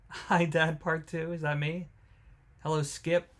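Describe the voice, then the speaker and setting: A man's voice: on the high side, flat delivery, slow pace. A man hosting a live watch-along, chatting casually with viewers, joking and greeting them by name. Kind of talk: casual live-stream commentary.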